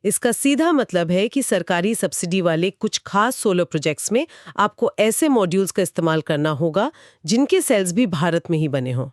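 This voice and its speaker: An Indian woman with a slightly low voice co-hosts a conversational explainer. She sounds assured and lays points out step by step. The sound is close and dry, free of room echo. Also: expressive, moderate pace. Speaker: co-host explaining company financials. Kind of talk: scripted conversational explainer, podcast-style.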